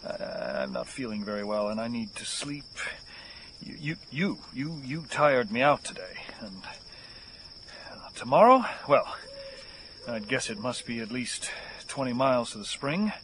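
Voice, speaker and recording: An actor playing a weary, desperate man on the run in an audio horror drama, halting and theatrical, musing with sardonic wit. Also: medium pitch, sing-song, background noise. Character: weary desperate male fugitive, rambling, wry, unravelling.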